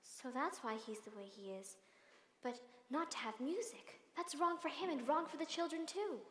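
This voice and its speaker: A cheerful devout young governess. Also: female voice, sits high, lively intonation, normal speed. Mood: wistful. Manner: warm and earnest. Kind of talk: 1960s film musical acting. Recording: roomy reverb, faint film score.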